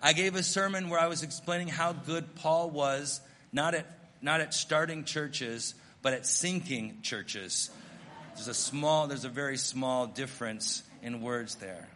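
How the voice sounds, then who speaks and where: A middle-aged American missionary pastor preaching a sermon, telling stories in an earnest, self-deprecating way. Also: male voice, fairly high pitch, measured pace, pushed and bright, long reverb tail, a little hiss or hum. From United States.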